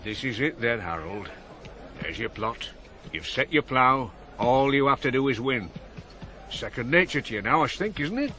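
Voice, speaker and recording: An actor playing a kindly older farmer, gentle and plain-spoken, in a radio drama. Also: male voice, medium pitch, animated, normal speed, faint music behind. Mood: gently encouraging. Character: kindly old farmer encouraging his young ploughman. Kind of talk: naturalistic radio drama acting.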